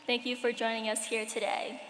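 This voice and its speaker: A woman's voice: a little high, level tone, brisk, a bit bright, a little hiss or hum. Wry teenage girl speaking at a graduation. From Cambodia. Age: late teens. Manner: wry, self-deprecating humor. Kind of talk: prepared commencement speech. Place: large hall at a graduation ceremony. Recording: echoey hall with long reverb.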